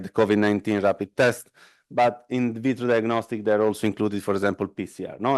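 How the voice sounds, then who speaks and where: A man in his thirties from northern Italy, speaking English with an Italian accent as an invited lecturer, conversational and relaxed, often ending points with "no?". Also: on the low side, no room sound. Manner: conversational, frequent fillers and tag "no?". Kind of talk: academic lecture with casual asides. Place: lecture room at a workshop.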